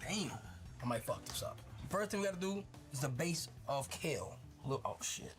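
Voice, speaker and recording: Excitable slangy young man with a Dominican accent. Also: fairly high pitch, moderate pace, music in the background. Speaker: streamer. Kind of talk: casual food vlog chat. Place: restaurant kitchen.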